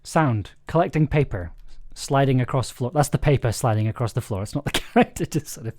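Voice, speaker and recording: A man's voice: medium pitch, lively intonation, a little fast, darkish tone. A man casually directing a script readthrough as its host and reading out sound cues. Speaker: audio drama creator and director, host.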